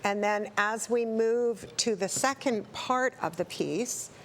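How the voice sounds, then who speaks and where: A woman's voice: a little high, takes it slowly, a little hiss or hum. A woman hosting a conversational TV interview, putting questions to a guest. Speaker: TV show host interviewing a guest.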